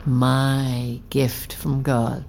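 A woman acting a bereaved, bitter mother in an audio drama, speaking deliberately and often in a hush, with accusation underneath. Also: a bass voice, flat delivery, background noise, heavily acted. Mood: grieving, hushed. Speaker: actor in a fiction podcast. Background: faint music.

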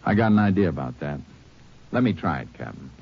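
Deep-voiced, laconic Texas Ranger. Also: male voice, sing-song, brisk, creaky, slight background noise, heavily acted. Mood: thoughtful, determined. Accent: American Western. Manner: laconic, matter-of-fact, folksy. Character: steady Texas Ranger, dogged, laconic lawman and narrator. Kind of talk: old-time radio western acting and narration. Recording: muffled vintage radio recording with music.

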